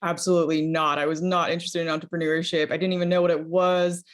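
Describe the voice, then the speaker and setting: A woman's voice: on the low side, flat delivery, quick pace. A young woman from Utah, chatting as an interview guest, bubbly with lots of ums and small laughs. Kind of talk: casual interview chat.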